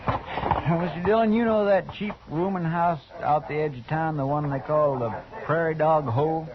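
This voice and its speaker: A man's voice: a little high, moderate pace, a crowd in the background, close to an everyday voice. Man acting a folksy, drawling deputy sidekick. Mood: eager reporting. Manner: folksy, deferential, plain-spoken. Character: folksy, loyal, eager deputy sidekick in a Western. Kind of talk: 1950s radio drama acting. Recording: dull, noisy old radio recording.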